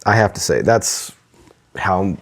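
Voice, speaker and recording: A man's voice: sits low, animated, takes it slowly. A man co-hosting a casual podcast, chatting with enthusiasm, laughing often and reading listener letters aloud. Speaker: podcast co-host.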